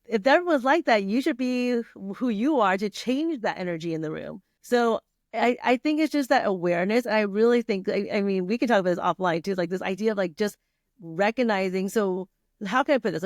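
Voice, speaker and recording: A woman's voice: medium pitch, expressive, fairly quick, dry recording, clean studio sound. A middle-aged woman from Vietnam, guest on a podcast, talking casually with many fillers, restarts and laughs. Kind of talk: casual conversational interview.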